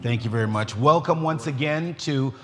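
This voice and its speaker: A man's voice: mid-range voice, moderate pace, big-room sound, faint music behind. A middle-aged man hosting a faith talk show, joking and laughing as he welcomes his guest. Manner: jovial, laughing, warm teasing of his guest.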